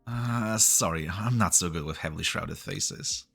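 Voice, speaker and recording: A man's voice: sits low, a little slow, creaky, husky, faint music behind, heavily acted. A man playing an eager, polite character in a game fan dub. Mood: eager, flustered. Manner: animated, polite.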